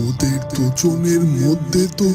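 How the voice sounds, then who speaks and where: A man voicing a caring, troubled dervish holy man in a cartoon, deliberately pondering aloud. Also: on the high side, lightly acted. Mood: worried. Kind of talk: slow dramatic cartoon monologue. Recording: loud music under the voice.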